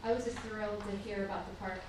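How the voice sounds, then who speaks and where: A woman's voice: mid-range voice, fairly even intonation, quick pace, noisy background. A woman from southern Indiana giving an earnest public comment at a city council meeting, chatty, with fillers and small laughs. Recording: echoey room picked up from far off.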